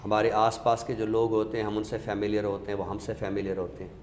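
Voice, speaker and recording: A man's voice: fairly low pitch, completely flat delivery, fast talker, darkish tone. A man explains literary ideas step by step with examples, in a didactic way, as an expert guest. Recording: echoey reverberant room with background noise.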